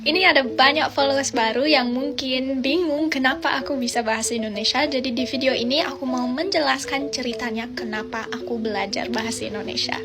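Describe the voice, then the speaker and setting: A woman's voice: high-pitched, brisk, few pauses. A young woman from Australia in her twenties, an English speaker, chatting to her viewers in a casual, explaining way. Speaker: vlogger. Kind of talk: casual talk to camera. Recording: music bed behind the voice.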